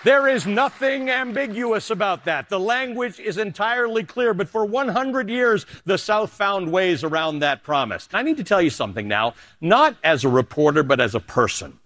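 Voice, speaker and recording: A middle-aged man, originally from Canada, anchors a news segment with emphatic, rising delivery. His voice sits on the higher side for a man, and he sinks lower and quieter for personal asides. The recording is close and dry. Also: expressive, moderate pace, few pauses, dry recording, a faint crowd. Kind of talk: televised political commentary and personal essay.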